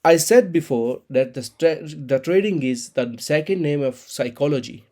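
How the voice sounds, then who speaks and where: A man in his thirties, an Urdu speaker, explaining a trading chart in halting with many restarts. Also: a little high, dark tone. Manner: halting non-native English, frequent restarts and repeated words. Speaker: crypto trading channel host.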